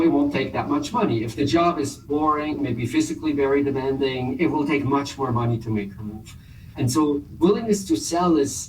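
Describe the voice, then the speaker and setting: Swiss German-accented male author, animated explainer, mid-pitched voice. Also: a little hiss or hum, rough recording. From German-speaking Switzerland. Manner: animated, explanatory, laughs often. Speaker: author and professor. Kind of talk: conversational interview answers.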